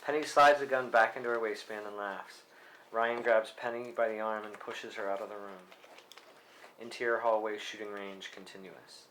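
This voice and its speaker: A man's voice: fairly low pitch, fairly even intonation, moderate pace, fairly bright tone. A man plainly reading stage directions at a script table read, now and then stumbling over a word. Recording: faintly noisy live room recording.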